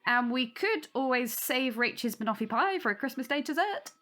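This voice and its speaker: Audio drama: welcoming peacemaker, gentle manner, lightly high voice, soft music underneath. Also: female voice, animated, moderate pace. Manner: hospitable, soothing. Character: kindly, welcoming woman hosting at Christmas, eager peacemaker.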